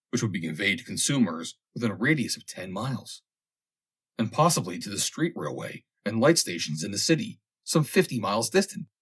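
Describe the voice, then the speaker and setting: A man narrates a vintage comic tale in a fairly low, husky voice. His delivery is dramatic and expressive, with lively swings in pitch as he reads the scripted prose. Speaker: audiobook reader.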